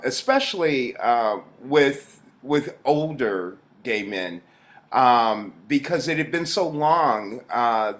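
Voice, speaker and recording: A man with a mid-pitched voice talks at a slow pace in an interview. He is reflective and halting, pausing often as he thinks through what he says. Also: a bit bright. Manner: halting, thoughtful, with frequent pauses. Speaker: actor being interviewed.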